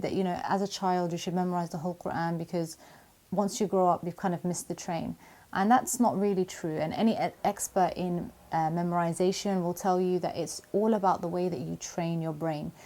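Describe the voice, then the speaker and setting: A woman with a slightly low voice answers viewer questions on Quran memorization. She talks in a calm, measured, encouraging way and drops in Arabic religious phrases. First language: not Arabic. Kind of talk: Q&A video talk to camera.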